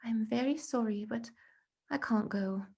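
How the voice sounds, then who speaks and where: A woman's voice: fairly high pitch, moderate pace, mellow. A woman voicing a kindly, loyal young schoolboy in a storybook, earnest and a little hesitant. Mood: earnest, firm.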